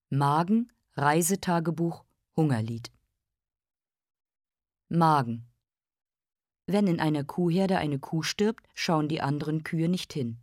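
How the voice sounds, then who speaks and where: An actress playing a self-accusing, brooding consumer in a literary radio drama monologue, in clipped, declarative phrases and lists. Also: female voice, sits low, darkish tone, dry recording, studio quality. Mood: brooding, detached.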